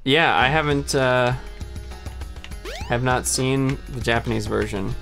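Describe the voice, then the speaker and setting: A man's voice: mid-range voice, slow pace, gravelly, over music. A man co-hosting a let's play, chatting casually as the game is played. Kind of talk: casual gameplay chat.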